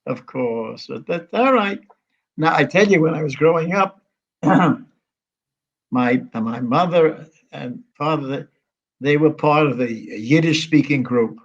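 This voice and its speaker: A man in his nineties, an interview guest from the United States, reminiscing in a halting, rambling way full of restarts and fillers. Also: a little high, lively intonation, breathy edge, lo-fi sound. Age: 90s. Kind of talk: conversational reminiscing.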